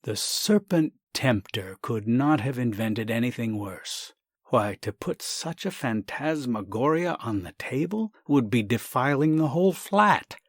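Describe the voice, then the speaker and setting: A man's voice: mid-range voice, lively intonation, unhurried, dry recording, clean studio sound. A man voicing a hesitant, embarrassed, proper family-man doctor in a comic story, fussy and hemming and hawing. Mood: disapproving. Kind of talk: acted reading of a comic short story.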